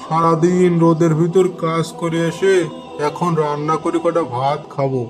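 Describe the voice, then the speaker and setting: A man's voice: a little high, little pitch movement, moderate pace, over music, lightly acted. A man playing a tired, sympathetic migrant labourer in a cartoon, with a Bangladeshi accent. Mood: weary. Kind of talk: cartoon dialogue.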